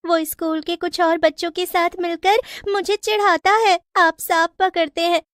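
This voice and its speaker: A woman's voice: very high voice, fairly bright tone, voice pushed up. A woman voicing a timid, earnest young village girl in a plaintive, childlike manner for a storybook cartoon. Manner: plaintive, wide-eyed, childlike.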